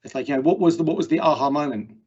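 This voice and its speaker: A man's voice: fairly high pitch, racing, darkish tone. A man hosting an online meetup, chatting casually and ramblingly as he interviews a guest over a video call. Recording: dull, muffled call audio.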